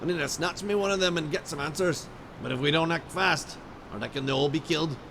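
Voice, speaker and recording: A man's voice: a little high, quick pace, creaky, noisy background. A man playing a blunt, foul-mouthed rustic rebel scout in a video game. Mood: gruff, scheming. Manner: folksy, coarse, blunt speech. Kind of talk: video game character acting.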